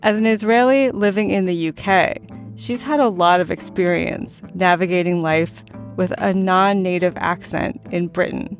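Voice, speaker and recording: A woman hosting a science podcast, reading scripted narration in a measured, explanatory way. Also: fairly low pitch, faint music behind. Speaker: podcast host.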